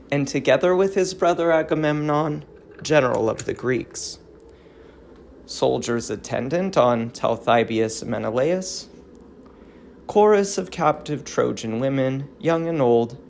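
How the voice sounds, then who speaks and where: A narrator reading out a play's cast and stage directions in a measured, deliberate, creaky voice. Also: medium pitch, a little hiss or hum. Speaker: narrator and reader of a play.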